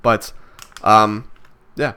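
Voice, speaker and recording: A man's voice: lowish voice, fairly even intonation, extremely slow, bright, forceful tone, smooth. A man in his twenties musing aloud in a vlog, hesitant and rambling, with many fillers and restarts. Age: 20s. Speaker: vlogger. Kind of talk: reflective casual monologue.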